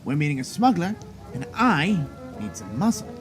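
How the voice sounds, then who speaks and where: A man's voice: on the high side, very animated, normal speed, over music. An actor playing a glib, cocky inventor and wheeler-dealer in an audio drama, with a salesman's patter.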